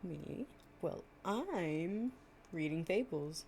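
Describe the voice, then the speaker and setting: A low, soft-edged, mellow voice from a young woman acting out an affectionate, chatty girlfriend. She talks in a casual, slangy, playful way, as in an intimate bedtime storytime roleplay. There is faint noise behind her. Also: animated, extremely slow, exaggerated voice.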